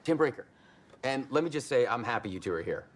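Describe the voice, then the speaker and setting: An actor playing an affable, folksy small-town sheriff in a game cutscene. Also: male voice, mid-range voice, lively intonation, fast talker, close to an everyday voice. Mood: friendly.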